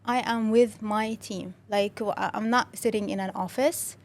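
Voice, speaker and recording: A woman's voice: medium pitch, steady tone, moderate pace. A young woman from Syria with a Syrian Arabic accent, a native Arabic speaker, talking as an interview guest in a friendly way with many fillers and restarts. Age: young adult. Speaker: interview guest, creative director. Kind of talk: casual interview talk.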